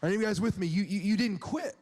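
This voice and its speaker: High-voiced young man preaching in a hall. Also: fairly quick, a little mellow, slight background noise. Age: 20s. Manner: candid, conversational preaching with laughs and asides to the crowd. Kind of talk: sermon.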